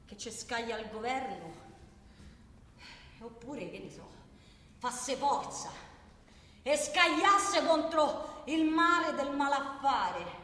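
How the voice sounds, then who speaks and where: An actress playing a defiant, impassioned protester in a theatrical monologue, declaiming insistently in a Roman Italian accent with emphatic repetition. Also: female voice, a little high, sharp tone, heavily acted. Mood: weary, sardonic. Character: defiant, impassioned woman protester ranting on a train. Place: large reverberant room, far from microphone. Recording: distant, echoey hall with background noise.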